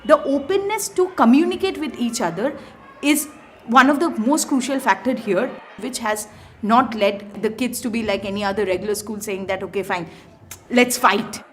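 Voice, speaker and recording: A woman's voice: on the high side, normal speed, slight background noise. A woman giving an explanatory interview testimonial.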